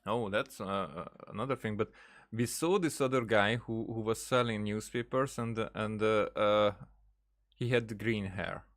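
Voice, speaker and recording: A man's voice: fairly low pitch, a little slow. A man giving casual playthrough commentary as a gaming streamer, musing hesitantly as he reacts.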